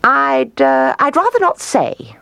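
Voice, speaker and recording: A vain big mother-bird of an emu from a children's storybook, put on by a woman reader. She is huffy and self-important, with very animated pitch. The sound is close and dry. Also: takes it slowly, no room sound, lo-fi sound, exaggerated voice. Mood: coy, hesitant. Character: vain, indignant, squawking big female emu.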